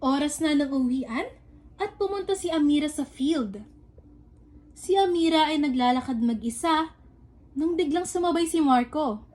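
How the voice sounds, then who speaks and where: Young female storyteller, high pitch, scripted radio-play narration. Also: moderate pace, long pauses, darkish tone.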